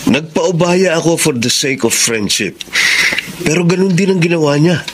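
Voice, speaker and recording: A man's voice: a little high, expressive, moderate pace, slight background noise, natural voice. A male actor playing a loyal, lovelorn young man, earnest and defensive, in a radio drama. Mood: earnest, regretful. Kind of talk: Tagalog radio drama acting.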